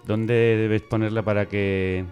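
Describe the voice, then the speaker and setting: A man's voice: lowish voice, flat delivery, slow pace, darkish tone, faint music behind. A man hosting a casual podcast chat in a jokey, teasing way. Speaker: podcast host.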